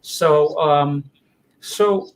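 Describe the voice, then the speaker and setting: A man's voice: on the high side, very slow, vocal fry, poor audio. A man hosting a casual interview. He is encouraging, and he often repeats words and restarts his sentences. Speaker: talk show host.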